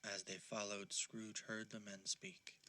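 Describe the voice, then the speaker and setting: An actor as the solemn narrator of a ghost story in an audio drama, reading scripted narration. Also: male voice, on the low side, level tone, a little slow, pushed and bright.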